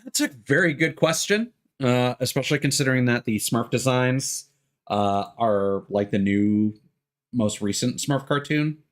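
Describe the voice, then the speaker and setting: A man's voice: mid-range voice, unhurried. A man livestreaming a video game, chatting casually and thinking aloud in a hesitant, rambling way. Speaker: game live streamer. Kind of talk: casual livestream chat while gaming. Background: game music.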